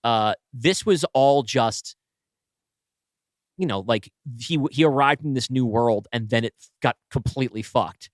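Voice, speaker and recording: A man co-hosting a podcast, brainstorming casually and thinking aloud in halting, self-correcting bursts. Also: mid-range voice, no echo, studio quality. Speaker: podcast co-host and writer.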